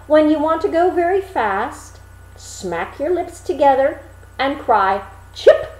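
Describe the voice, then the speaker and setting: A woman's voice: sits high, very animated, takes it slowly, a little mellow, reverberant, voice pushed up, full character voice, rough recording. A woman voicing a friendly, persuasive horseman in a storybook, coaxing as he proposes a trade. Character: smooth-talking friendly horseman proposing a swap. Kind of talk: storybook character reading.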